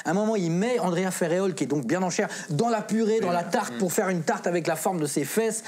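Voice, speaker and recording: A woman's voice: fairly low pitch, a little fast, a crowd in the background. A woman presenting a film column in casual panel talk, with an emphatic, repetitive, slangy way of talking. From of Italian origin. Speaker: film columnist on a geek culture show.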